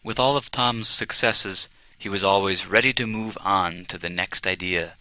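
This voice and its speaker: Low-voiced, bright male audiobook narrator. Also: normal speed, no echo, poor audio. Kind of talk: scripted narration of a children's biography. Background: string quartet music.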